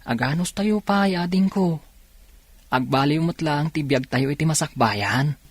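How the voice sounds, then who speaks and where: A man with a Filipino accent acting in a radio drama as an earnest, gentle young factory worker and older brother. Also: a little high, measured pace, slightly rough, no echo. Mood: reassuring.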